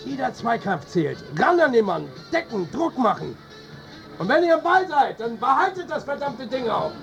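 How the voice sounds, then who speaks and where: An actor playing a fiery, foul-mouthed football coach in a radio drama, barking urgent orders and exhortations. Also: male voice, very high-pitched, very animated, normal speed, gravelly, a bit bright, faint music behind, heavily acted. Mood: fired up. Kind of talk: radio drama acting, shouted team talk.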